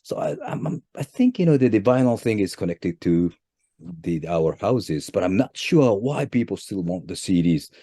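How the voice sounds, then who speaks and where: Japanese-accented man, lively halting speech. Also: slightly rough, no room sound, studio quality. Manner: halting, repeats words, pitch jumps up at each new point. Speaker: band leader, interview guest. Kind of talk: casual interview answers.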